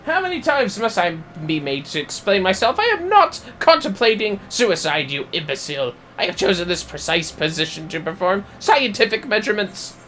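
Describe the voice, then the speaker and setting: A man voicing a pompous, excitable young male scholar from a fantasy video game, with florid, theatrical delivery. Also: high-pitched, moderate pace, hardly any pauses, a little hiss or hum, voice pushed up. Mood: indignant. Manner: grandiose, florid, alliterative, theatrical exclamations.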